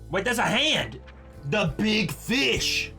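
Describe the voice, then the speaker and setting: A man's voice: high voice, very animated, slow pace, pushed and bright. A man giving casual, blunt game reaction commentary as a streamer, slangy and full of swearing. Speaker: gaming streamer. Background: faint game music.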